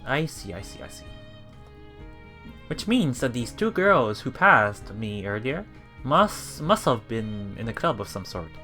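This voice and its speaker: A man's voice: mid-range voice, sing-song, a little slow, pushed and bright, soft background music. A man reading a game aloud as a let's play streamer, reacting casually and repeating phrases as he puzzles things out.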